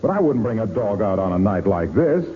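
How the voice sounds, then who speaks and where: A man's voice: on the low side, big pitch swings, brisk. A man playing an affable visitor in 1940s radio comedy style. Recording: tinny noisy 1940s radio recording.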